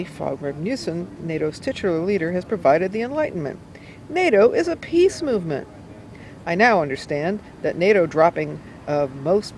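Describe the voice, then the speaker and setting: A woman reads an essay aloud outdoors, with deliberate, emphatic phrasing and pauses. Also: lowish voice. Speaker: amateur reader. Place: outdoors by a cafe in town. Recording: noisy outdoor street recording, traffic sounds. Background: urban outdoor ambience, vehicles.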